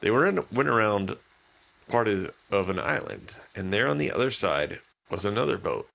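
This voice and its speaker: A man's voice: lowish voice, sing-song, normal speed, vocal fry, fairly bright tone, highs cut off. A man telling an improvised bedtime story for children, using simple, repetitive wording. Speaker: children's story podcast narrator.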